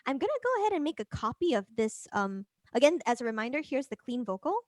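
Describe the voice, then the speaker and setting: A woman presenting a music production workshop as an informal software demonstration, chatting and thinking aloud as she works. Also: on the high side, very animated, quick pace, very noisy.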